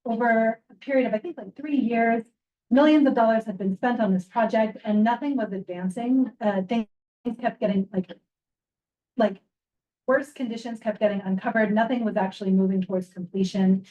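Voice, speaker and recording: A woman with a soft voice giving a school update in an online meeting, with fillers between phrases. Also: on the high side, muffled recording. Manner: halting, with fillers. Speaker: council member giving an update. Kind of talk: virtual meeting report.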